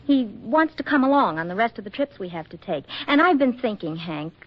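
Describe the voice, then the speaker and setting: Lively wisecracking radio dame, muffled vintage recording. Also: female voice, on the high side, brisk. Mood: wry, reflective. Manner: arch, slangy, breezy wisecracking. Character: wisecracking, scheming young woman photographer, sly but softening. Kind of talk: 1940s radio acting.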